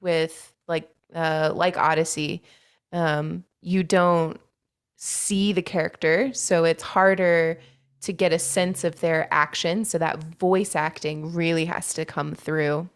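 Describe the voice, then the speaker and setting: A woman's voice: low voice, steady tone, slow pace, clear, resonant tone. A woman co-hosting a podcast interview, speaking in a casual conversational style. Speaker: podcast co-host.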